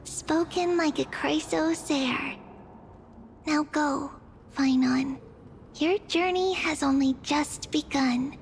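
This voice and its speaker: A woman playing a serene, gentle holy maiden in a video game, speaking in a measured, solemn way. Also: sits high, slight background noise. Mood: gentle, encouraging. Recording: background music under the voice.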